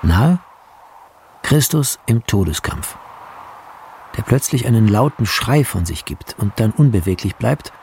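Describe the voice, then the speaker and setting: A man's voice: on the low side, lively intonation, a little slow, pauses often, a little mellow, a little hiss or hum. A man narrating scripted shot descriptions in clipped, descriptive phrases. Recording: clear music behind the voice.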